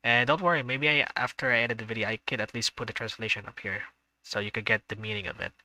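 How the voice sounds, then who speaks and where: A man's voice: on the low side, fairly even intonation, fast talker, bright, forceful tone, no room sound, low-quality recording. A man streaming a visual novel, reading the narration aloud and adding chatty, hesitant asides. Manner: chatty asides, hesitant with fillers when unsure. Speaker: gaming streamer.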